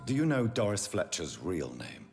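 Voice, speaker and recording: Over clear background music, a brooding vampire doctor in a dramatic game cutscene. The voice is fairly low with wide, expressive movement. He sounds stern, formal and accusing. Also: normal speed, exaggerated voice. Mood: grave, probing. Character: grave, accusing English gentleman vampire doctor, brooding and righteous.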